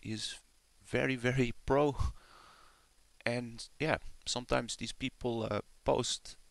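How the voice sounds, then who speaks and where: Male screen-demo narrator, mid-pitched and gravelly, amused and unscripted, recorded with heavy radio hiss. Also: fairly even intonation, takes it slowly, dry recording. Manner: amused, often chuckling, swears casually. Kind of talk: casual off-the-cuff screen-recording commentary. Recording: hissy with loud radio static. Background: shortwave radio static and signals.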